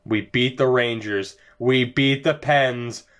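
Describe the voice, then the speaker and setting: A young man giving jokey, excitable commentary over a hockey video game, his voice worn as he loses it. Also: medium pitch, takes it slowly. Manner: excitable, jokey, laughs often. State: losing his voice. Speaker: gaming YouTuber. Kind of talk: casual gameplay commentary.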